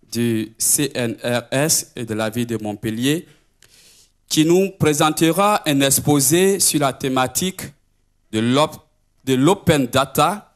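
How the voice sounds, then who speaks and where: A man with a mid-high voice speaks as a seminar host at a slow pace. His delivery is formal and measured, like a prepared introduction with rhetorical phrasing.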